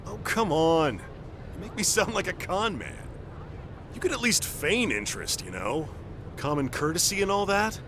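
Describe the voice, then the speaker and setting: Cocky, animated American hustler-soldier. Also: male voice, high voice, moderate pace, noisy background. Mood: affronted, pleading. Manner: slangy, cajoling, animated. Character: cocky, wheedling male soldier pitching shady tips. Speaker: voice actor in a game cutscene. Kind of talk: game cutscene acting. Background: faint music.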